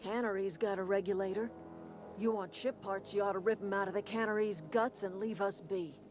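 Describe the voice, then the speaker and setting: A woman's voice: medium pitch, fairly even intonation, brisk, a little mellow, lightly acted. An actress playing a proud, motherly elderly woman who leads a camp in a video game, plain-spoken and folksy. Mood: bitter, defiant. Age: older. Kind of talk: video game character dialogue. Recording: music behind the voice.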